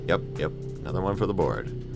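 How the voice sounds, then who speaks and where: Male video review host, low register, wry and mock-exasperated film commentary over a clear music bed. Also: animated, brisk. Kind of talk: comedic movie commentary, semi-scripted.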